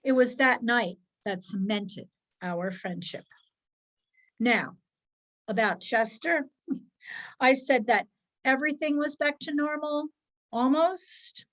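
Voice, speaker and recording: A woman reading a children's story aloud as its narrator, expressively and with measured, dramatic pauses. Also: high-pitched, fairly bright tone, poor audio. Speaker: storybook reader, narrating as a dog.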